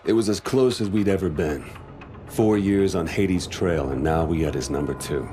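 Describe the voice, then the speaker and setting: A man's voice: deep voice, moderate pace, dark tone, a little hiss or hum, lightly acted. An actor playing a grim, world-weary soldier who narrates his war in a video game. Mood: grim, reflective. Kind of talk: scripted dramatic game narration. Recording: dramatic music behind the voice.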